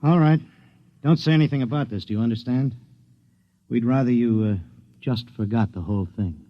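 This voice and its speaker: A man's voice: medium pitch, normal speed, no echo, close to an everyday voice. A man playing a clipped, businesslike FBI agent. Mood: firm. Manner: firm, clipped, matter-of-fact. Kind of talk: 1950s radio drama acting. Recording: lo-fi 1950s radio broadcast, dry studio.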